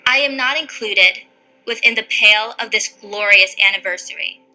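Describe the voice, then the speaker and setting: A woman reciting solemn oratory with deliberate, formal phrasing. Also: medium pitch, pushed and bright, faint music behind, rough recording.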